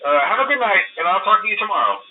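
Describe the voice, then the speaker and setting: A man's voice: high voice, big pitch swings, rapid-fire. An actor playing a chatty, nervously reassuring male employee in a video game, leaving casual, hesitant, rambling phone briefings for a new guard. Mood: hesitant, cautioning. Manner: hesitant, rambling, breezily downplaying trouble. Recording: tinny, narrow phone line.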